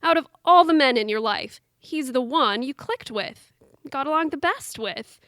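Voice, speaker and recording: A woman's voice: high voice, lively intonation, normal speed, a bit bright, dry recording, studio quality. A woman acting in an audio play as an anxious, rebellious younger sister with a sardonic edge. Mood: flustered. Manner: sardonic, hesitant when pressed.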